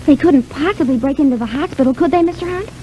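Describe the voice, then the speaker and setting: A woman's voice: high-pitched, quick pace, dark tone, close to an everyday voice. An actress playing a plucky, naive young girl in an old-time radio serial, earnest and chatty. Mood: earnest, shaken. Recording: muffled, noisy old radio recording.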